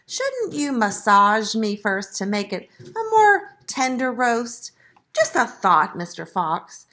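A small, sly piglet from a children's storybook, acted out by a woman reader. The voice is high and very animated, squealing and then wheedling with mock innocence and meek little sighs. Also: takes it slowly, full character voice. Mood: sly meek pleading. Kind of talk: children's storybook read-aloud.

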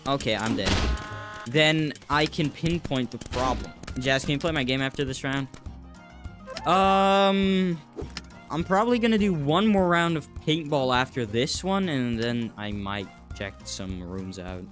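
Young male streamer, unhurried delivery, chatty and easygoing with viewers, light game music underneath. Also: mid-range voice. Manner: relaxed, chatty, answers viewers while playing. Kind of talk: casual live gameplay chat. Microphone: desk mic, wearing a VR headset.

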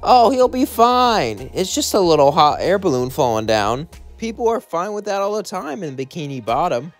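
A hyper, happy cartoon sponge, acted out by a man, eager as a little kid. The voice jumps up high and swings around with innocent excitement, over background music. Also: moderate pace. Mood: excited. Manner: childlike, eager, exclaiming.

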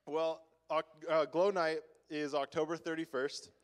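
A man's voice: on the high side, flat delivery, measured pace. A young man on a church stage giving chatty announcements full of "like" and small laughs, then a short devotional. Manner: chatty, laughing, many "like" fillers. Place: church stage, large room.

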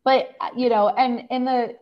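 A middle-aged American woman, hoarse from laryngitis, telling a personal story as a guest in a casual video-call interview. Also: on the high side, steady tone, normal speed, highs cut off. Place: room at home, on a video call.

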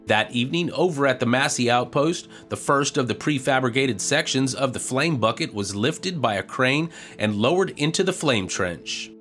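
Man narrating a measured news voice-over. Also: medium pitch, soft background music. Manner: even, measured reporting tone.

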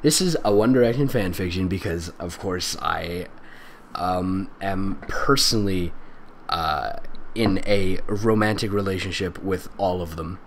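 A man's voice: low-pitched, a little slow, a little hiss or hum. A man doing a comedic fan fiction reading with sarcastic, exasperated, theatrical commentary. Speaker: voice actor and fan fiction reader.

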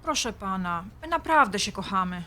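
An actress playing a calm young student fiancée in an audio drama, polite and conciliatory. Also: female voice, medium pitch, moderate pace. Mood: earnest, polite. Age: young adult.

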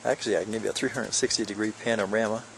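Older man, gravelly and low, easygoing rambling hiking vlog, outdoor handheld camera, faint noise. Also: steady tone, racing, fairly bright tone, low-quality recording. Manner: rambling, joking, many fillers. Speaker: hiker filming a vlog. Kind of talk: casual narration while hiking. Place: outdoors on a mountain trail, windy.

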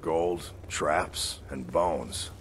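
An actor playing a gruff, world-weary male adventurer companion in a fantasy video game. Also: very deep voice, very slow, a bit bright, exaggerated voice. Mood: weary, grumbling. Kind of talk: fantasy game character dialogue. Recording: noisy game ambience behind.

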